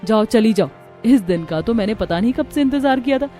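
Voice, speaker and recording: Woman playing haughty cartoon sister-in-law, high voice, scolding, sarcastic, indignant, music behind. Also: expressive, brisk, mellow, lightly acted. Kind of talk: dramatized Hindi moral story cartoon.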